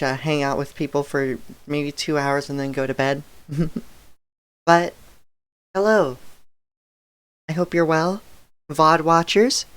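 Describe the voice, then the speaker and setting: A woman streaming a game and chatting casually to her viewers, her throat a bit dry and raspy, talking in a relaxed, rambling way with soft laughs. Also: low voice. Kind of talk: casual live-stream chat.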